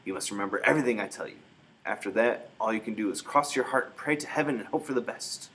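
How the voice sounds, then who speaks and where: A man's voice: on the low side, fast, fairly bright tone, slightly rough. A man voicing a very old, loving grandmother who gives solemn, emphatic warnings in a storybook. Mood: grave warning. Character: very old, loving, grave Norwegian grandmother telling witch tales.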